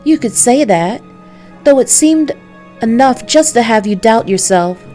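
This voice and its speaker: A woman's voice: on the high side, animated, measured pace, warm tone, faint music behind, voice pushed up. A woman voicing a seductive, sly succubus from a visual novel, theatrical and taunting, with coolly menacing words. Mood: smug. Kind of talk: visual novel character read aloud.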